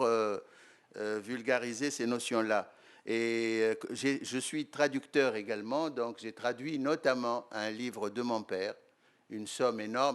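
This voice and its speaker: Older Tunisian-accented man, academic guest, measured delivery with fillers, smooth resonant tone. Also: lowish voice, fairly bright tone. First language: Arabic. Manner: measured, hesitant with many fillers. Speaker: guest professor and writer. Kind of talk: conference interview answers. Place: lecture room.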